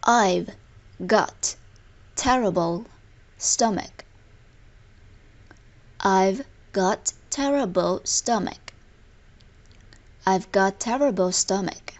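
Female language-lesson narrator, medium pitch, very slow scripted read with careful word-by-word enunciation. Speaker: language teacher.

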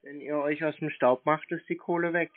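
A man's voice: a little high, steady tone, a little fast, no echo. A man in an unscripted phone argument, stubbornly contradicting the other side and repeating his accusations. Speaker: prankster confronting phone scammers. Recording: dry, narrow phone-like band.

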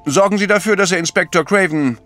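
An actor playing an astute, patient priest detective in a German audio drama, explaining deliberately with gentle chiding. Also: male voice, high-pitched, soft background music. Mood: brisk.

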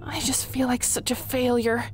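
A woman plays a young female echidna guardian in a cartoon dub. She sounds intense and fairly high, hot-headed yet self-doubting, over faint music. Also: steady tone, moderate pace, close to an everyday voice. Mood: dejected. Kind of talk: comic dub voice acting.

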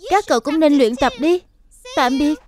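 A woman's voice: high voice, moderate pace, darkish tone, close to an everyday voice. A woman voicing a graceful, friendly little girl animal pupil in a dubbed children's cartoon. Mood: friendly, pleased.

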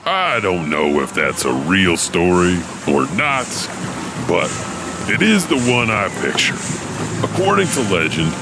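A man playing a mysterious janitor who hosts and tells dark tales in a theatrical, spooky storytelling style. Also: low-pitched, wildly swooping pitch, measured pace, noisy background, heavily acted. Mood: eerie, storytelling. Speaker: horror story host.

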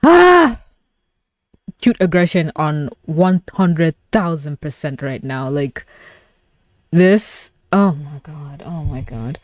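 A young adult woman giving a casual live reaction, gushing and exclaiming with excitement. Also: deep voice, slow, dry recording. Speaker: video creator reacting to a webcomic. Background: pop song playing.

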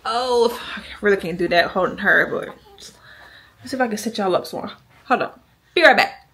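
A woman's voice: medium pitch, moderate pace, pushed and bright, breathy edge, rough recording. Chatty young woman vlogging. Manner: chatty, rambling, addresses viewers as y'all. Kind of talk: casual chat to camera. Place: home bedroom and a store. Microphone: handheld camera at arm's length.

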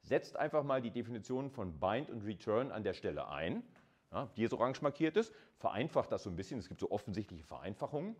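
A man's voice: on the low side, big pitch swings, quick pace, darkish tone. A man lecturing informally in a colloquial, conversational way, explaining things step by step and addressing his listeners directly. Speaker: university lecturer.

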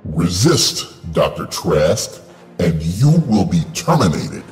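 An actor playing a giant, menacing robot overlord in an audio drama, speaking coldly and slowly. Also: male voice, low-pitched, sing-song, darkish tone, reverberant, faint music behind, full character voice. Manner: cold, slow and menacing.

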